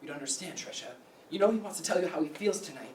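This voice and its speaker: A woman's voice: low-pitched, animated, very fast, a little mellow. A woman playing a blunt, sardonic teenage best friend in a staged play reading, dry and exasperated. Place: room at a live staged reading. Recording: echoey room, distant mic, faint noise.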